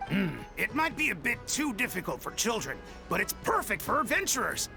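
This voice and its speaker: A woman voicing a brisk, earnest adventurers' guild official in a game, articulate and businesslike. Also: mid-range voice, sing-song, creaky, over music.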